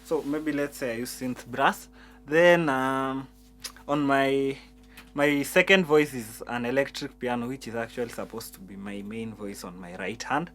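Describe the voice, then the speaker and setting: A man walks through keyboard settings in a teaching tone, often stumbling and repeating his words. A faint keyboard plays underneath his voice. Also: mid-range voice. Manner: halting, repeats words, explains step by step. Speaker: piano instructor. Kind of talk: keyboard tutorial. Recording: keyboard music behind voice.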